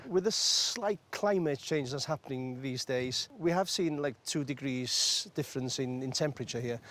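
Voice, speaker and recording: A man's voice: medium pitch, moderate pace, fairly bright tone. A man, a cider maker from North Wales, explaining his orchard in a plain, modest way outdoors. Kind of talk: casual on-location interview.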